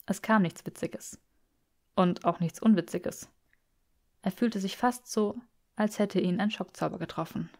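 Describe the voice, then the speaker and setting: A woman narrates fiction for an audiobook. Her voice sits at a medium pitch, and she reads the scripted prose with expression. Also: a little slow, long pauses, darkish tone.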